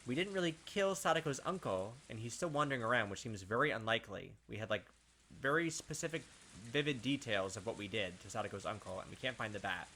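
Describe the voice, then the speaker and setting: A man's voice: medium pitch, lively intonation, fast, bright, forceful tone. A man giving casual gaming commentary and reading on-screen text aloud, talking through his theories in a puzzled way. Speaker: gaming video host. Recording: clear background game noise.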